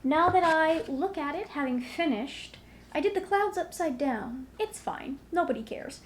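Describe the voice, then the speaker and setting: A woman's voice: high-pitched, animated, a little slow, a little hiss or hum. A woman talking casually to viewers as a book vlogger, in a rambling, conversational, self-deprecating way. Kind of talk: casual chatty vlog, recapping to viewers.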